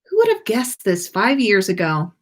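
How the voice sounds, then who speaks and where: A woman's voice: mid-range voice, wildly swooping pitch, moderate pace, a bit bright. A woman voicing a smitten, good-humoured young wizard man in a story, easygoing and slightly awkward. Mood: flustered, musing.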